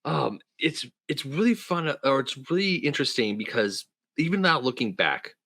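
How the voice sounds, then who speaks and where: A man's voice: medium pitch, animated, normal speed, fairly bright tone, dry recording. A man in his thirties hosting a podcast, chatting casually and rambling with many restarts. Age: 30s. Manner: rambling, full of restarts and fillers. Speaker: podcast host. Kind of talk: casual chat.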